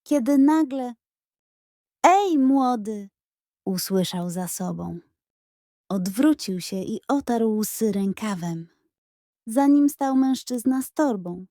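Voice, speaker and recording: A woman reading a scripted bedtime story for children in an expressive, careful storytelling manner. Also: a little high, takes it slowly, dry recording, clean studio sound. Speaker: audiobook narrator.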